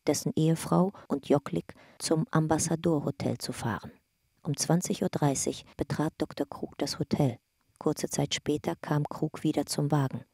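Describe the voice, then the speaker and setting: A woman's voice: low voice, vocal fry, mellow, husky, no echo, clean studio sound, exaggerated voice. A woman narrating an investigation file in a factual, detached, scripted radio drama style.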